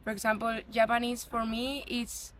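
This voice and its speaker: Spanish woman on the street, pretty flat even voice, calm answers. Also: on the high side, normal speed, slight background noise. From Spain. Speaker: passerby interviewee. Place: outdoors on a street.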